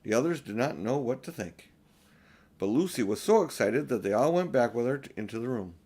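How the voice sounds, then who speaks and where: Animated older man reading a children's story. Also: medium pitch, fairly quick, husky. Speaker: audiobook reader. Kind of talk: expressive children's storybook narration.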